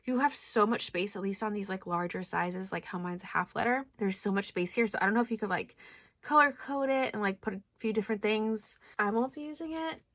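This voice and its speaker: A young woman chatting casually through a stationery unboxing, thinking aloud with lots of likes and ums, her voice a little hoarse. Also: medium pitch, fairly quick, a bit bright, no echo. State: slightly hoarse. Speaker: planner vlogger.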